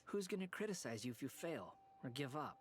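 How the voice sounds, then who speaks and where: An actor playing a brooding, vengeful young man in a video game, speaking in a deliberate, cynical way. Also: medium pitch, very animated, a little hiss or hum, natural voice.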